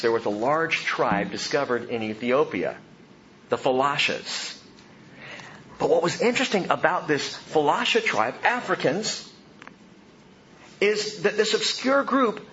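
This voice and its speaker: A man preaches with lively storytelling, his voice sitting a little high. It swings between hushed, solemn readings and quick, excited stretches. The recording sounds dull and lo-fi, with faint noise underneath. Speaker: pastor. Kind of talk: sermon.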